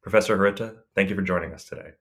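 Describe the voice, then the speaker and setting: A man's voice: deep voice, rapid-fire, a bit bright. A man hosting a book podcast, reading a formal introduction and then asking loose, conversational questions. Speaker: podcast host, assistant editor. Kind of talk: podcast interview.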